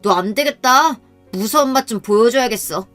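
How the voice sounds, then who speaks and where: A woman's voice: a little high, normal speed, fairly bright tone, faint music behind, pitched above the performer's own voice, lightly acted. Woman doing a jeering schoolboy bully. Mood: taunting. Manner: jeering, taunting. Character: mocking bully boy, mean and rude.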